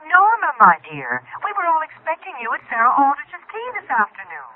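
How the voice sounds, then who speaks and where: A woman's voice: sits high, huge pitch swings, fairly quick. An actress playing a prim, fussy society lady in a radio drama, effusive and genteel, through a telephone filter. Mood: gushing, nosy. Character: gushing, prim, easily offended society lady. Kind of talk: old-time radio drama acting. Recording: tinny phone line on vintage radio.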